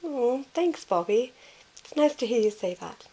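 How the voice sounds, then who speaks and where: A woman playing a caring, reserved young woman in an amateur audio drama, soft-spoken and reassuring. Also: on the high side, expressive, a little slow, husky, exaggerated voice. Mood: warm, casual.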